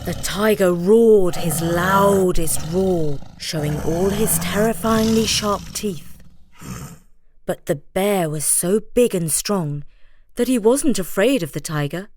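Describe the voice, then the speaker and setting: A woman narrating a scripted children's bedtime story in an expressive style. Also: medium pitch, slow, background noise.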